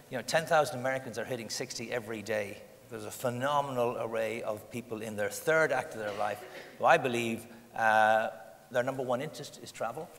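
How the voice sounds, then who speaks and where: Fast-talking Irish man in an echoey hall. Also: medium pitch, a bit bright, a little hiss or hum. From Ireland. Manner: fluent storyteller, anecdotes with quoted dialogue. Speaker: guest, diaspora consultant. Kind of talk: panel interview answers. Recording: echoey hall with faint ambience.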